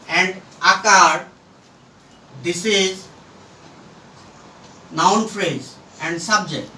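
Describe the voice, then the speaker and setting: A man teaching a grammar lesson at a board, speaking in deliberate, halting, emphatic phrases, recorded from a distance in an echoey room. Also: high-pitched, sharp tone. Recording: roomy echo, distant mic, faint noise.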